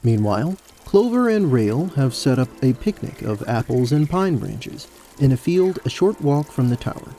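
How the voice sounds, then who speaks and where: A man's voice: medium pitch, sing-song, moderate pace, few pauses, warm tone, slight background noise. A man narrating a scripted fantasy story as the narrator of an audio drama.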